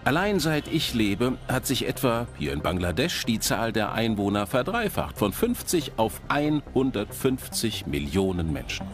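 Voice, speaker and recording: A man's voice: mid-range voice, very animated, unhurried, few pauses. A man delivering scripted documentary narration as a presenter, addressing the audience directly. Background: background music.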